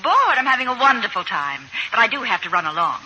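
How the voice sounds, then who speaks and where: An actress playing a breezy, chatty suburban friend in old radio comedy, gushing cheerfully. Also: female voice, on the high side, big pitch swings, fast, pushed and bright, full character voice. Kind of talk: 1940s radio comedy acting. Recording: slightly noisy old radio broadcast.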